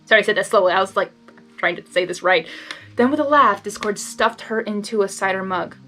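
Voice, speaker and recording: A woman's voice: medium pitch, a little fast, bright, forceful tone. A woman narrating fan fiction expressively, slipping into casual, emotionally invested asides.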